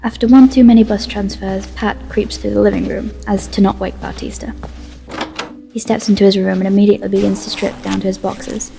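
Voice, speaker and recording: Mellow, creaky-voiced woman narrator. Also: mid-range voice, fairly even intonation, fairly quick. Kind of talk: scripted present-tense story narration. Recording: long reverb, faint music. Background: faint music.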